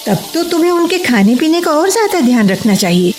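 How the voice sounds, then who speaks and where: An actress playing a reserved, solitary woman who cherishes silence, speaking in a measured, pensive, guarded way in a Hindi radio play. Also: high voice, sing-song, darkish tone, soft background music. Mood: matter-of-fact.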